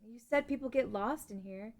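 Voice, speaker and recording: A woman's voice: a little high, normal speed, natural voice. A woman playing a stubborn, brave young princess in a fantasy table read. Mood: earnest.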